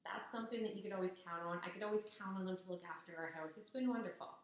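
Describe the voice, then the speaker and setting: A young woman from Ontario, hoarse and raspy after a cold, giving a chatty, upbeat persuasive talk to the camera. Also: medium pitch, rapid-fire. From Ontario, Canada. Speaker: business student. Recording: very echoey, roomy sound.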